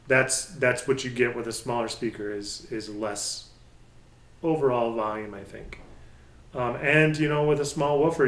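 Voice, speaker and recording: A man with a mid-range voice speaks casually, like a hobbyist hosting his own review channel. He talks without a script, halting and working out his thoughts as he goes. The recording is noticeably echoey, with the room clearly audible around his voice. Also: mid-range voice, fairly bright tone. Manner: halting, thinking aloud. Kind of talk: casual unscripted product talk. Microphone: pair of budget stereo microphones. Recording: echoey, roomy sound.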